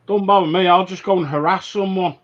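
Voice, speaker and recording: Guy with a high voice chatting on a panel, kinda dull lo-fi call sound. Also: fairly even intonation, fairly quick, a bit bright. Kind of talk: casual chat.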